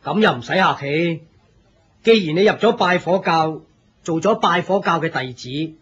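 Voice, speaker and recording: A man's voice: a little high, moderate pace, fairly bright tone, husky, dull audio. A man with a Hong Kong Cantonese accent playing a friendly, simple, devout senior disciple in a radio drama, explaining things plainly and patiently. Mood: helpful.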